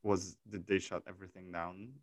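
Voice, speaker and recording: Low-voiced man asking curious questions. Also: level tone, normal speed, no room sound, studio quality. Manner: curious, asks questions with stammered restarts. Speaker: host. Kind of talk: casual interview chat.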